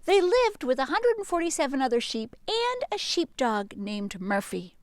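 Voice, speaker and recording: A woman doing an animated read-aloud for children like a teacher, playful and expressive, with dramatic hushes and emphasis. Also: sits high, moderate pace, a little hiss or hum.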